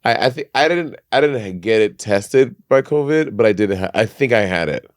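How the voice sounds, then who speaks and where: A man co-hosting a podcast in casual chat, animated and campy, who swears freely. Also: medium pitch, moderate pace, no room sound, clean studio sound. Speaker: podcast co-host.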